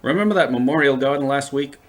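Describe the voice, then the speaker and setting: Casting: male actor for unflappable sci-fi radio adventurer, medium-pitched, dry wit, wordy and flippant. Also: fast, close to an everyday voice. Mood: resigned, dry. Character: wry, unflappable male time-travelling adventurer. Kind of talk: audio drama acting.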